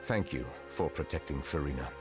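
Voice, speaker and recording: A man's voice: very deep voice, fairly quick, a little mellow, faint music behind. A man voicing a solemn, dignified dragon sovereign in human form for a video game, with formal, precise, grave delivery. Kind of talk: scripted video game voice acting.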